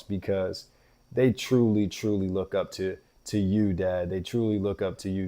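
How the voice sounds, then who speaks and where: A man with a deep voice, hosting a show and talking earnestly to fathers in a reflective, heartfelt monologue. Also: dark tone. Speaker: podcast host.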